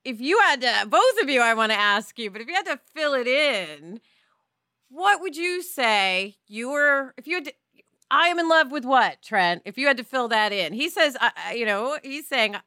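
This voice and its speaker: Bright, candid woman hosting, very dry sound. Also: high voice, expressive, normal speed, no room sound. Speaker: podcast host. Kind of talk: casual podcast conversation.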